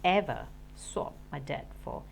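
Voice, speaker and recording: A woman narrates a children's story like a teacher reading to a class. Her delivery is dramatic and animated, with lively swings in pitch. There is faint noise in the recording. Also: measured pace, vocal fry.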